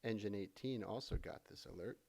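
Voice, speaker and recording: A man narrating a software tutorial in a casual, conversational way, explaining things step by step as an instructor. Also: fairly low pitch, brisk, vocal fry, background noise. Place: voice-over for a screen recording.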